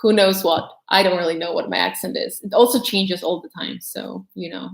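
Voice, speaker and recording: A woman's voice: lowish voice, steady tone, normal speed, creaky, reverberant. Chatty young woman, mixed American-tinged accent. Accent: mixed English accent, mostly American-influenced. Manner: chatty, rambling, many "like" fillers. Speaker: vlogger answering viewer questions. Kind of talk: casual livestream Q&A.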